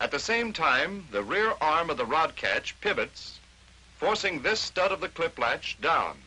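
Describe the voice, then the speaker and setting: A man's voice: on the high side, very animated, unhurried, sharp tone, breathy edge, low-quality recording. An American man narrating a 1940s instructional training film, explaining step by step in short declarative statements on a vintage film soundtrack.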